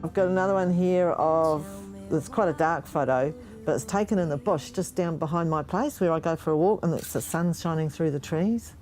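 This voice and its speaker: A woman speaking openly and emotionally in an interview, halting now and then as she reflects. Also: deep voice, darkish tone, soft background music. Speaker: project participant. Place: near a park in New Zealand.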